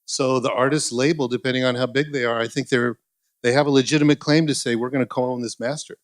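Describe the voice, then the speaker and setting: A man's voice: mid-range voice, fairly even intonation, fast, no room sound. A man on a conference panel explains things in a methodical way. His voice is recorded dry, with little room sound. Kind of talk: panel discussion.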